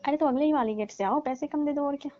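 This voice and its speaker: A wheedling, pleading middle-aged aunty, as an actress plays her on a phone call. Her voice sits fairly high but sounds mellow and dark. The line is muffled and dry, like a recorded phone call. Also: fast, close to an everyday voice. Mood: coaxing. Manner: wheedling, keeps calling him "babu". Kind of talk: scripted comedy phone call.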